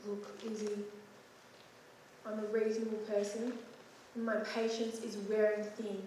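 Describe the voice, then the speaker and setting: A woman's voice: medium pitch, monotone, unhurried, warm tone, a little hiss or hum, close to an everyday voice. A woman playing an impatient interrogating officer with firm, clipped questions, recorded from far off on an echoey stage. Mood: stern. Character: stern interrogating officer losing patience.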